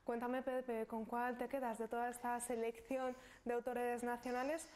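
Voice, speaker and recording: A woman from Spain hosting a TV magazine, leading the interview and reading links to the breaks in a brisk, upbeat manner. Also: on the high side, level tone, a little mellow. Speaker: TV magazine host.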